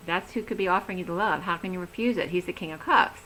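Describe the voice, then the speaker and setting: A woman giving a rambling, chatty tarot reading, talking with a voice that is going hoarse. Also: lowish voice, fast, bright, forceful tone, slight background noise, rough recording. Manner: chatty, rambling, laughs easily. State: losing her voice. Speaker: tarot reader. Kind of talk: casual tarot reading to camera.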